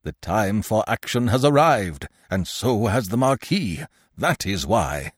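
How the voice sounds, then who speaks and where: Storybook rogue played by a man: scheming, smooth-talking, self-assured conspirator, animated delivery, dry sound. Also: lowish voice, a little slow, no room sound, exaggerated voice. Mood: conspiratorial. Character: sly, strutting, shabby male conspirator and schemer.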